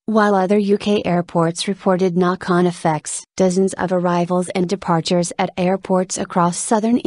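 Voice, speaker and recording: Lower-voiced woman reading news, dry sound. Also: warm tone, no echo. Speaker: news article reader. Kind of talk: scripted narration of a written news article.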